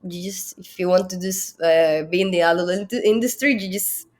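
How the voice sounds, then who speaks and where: Giggly Venezuelan woman, lowish voice. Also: normal speed, a little hiss or hum. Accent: Venezuelan Spanish. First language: Spanish. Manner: easygoing, giggly, hesitant with many uhs. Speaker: podcast guest. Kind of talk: casual interview chat.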